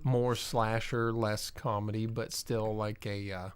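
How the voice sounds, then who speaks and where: A man's voice: on the low side, little pitch movement, takes it slowly, smooth. A man co-hosting a movie podcast, laid-back and jokey, tossing in comparisons and trailing off mid-thought. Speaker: podcast co-host. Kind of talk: casual movie chat.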